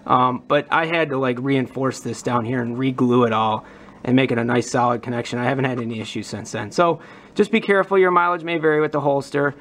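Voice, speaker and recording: A man's voice: mid-range voice, brisk, a bit bright, a little hiss or hum. A man presenting a prop modding demonstration in an informal, enthusiastic, casual explanatory way. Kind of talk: casual explanatory hobby vlog.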